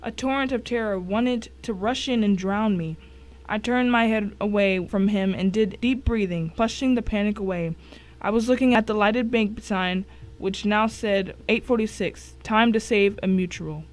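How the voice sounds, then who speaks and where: A woman's voice: medium pitch, moderate pace, natural voice, low-quality recording. Woman as a tense suspense story narrator. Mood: anxious, vivid.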